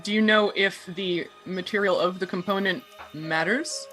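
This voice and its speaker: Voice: medium pitch, steady tone, normal speed, sharp tone, faint music behind. A player in a tabletop roleplaying game, improvising casually in character.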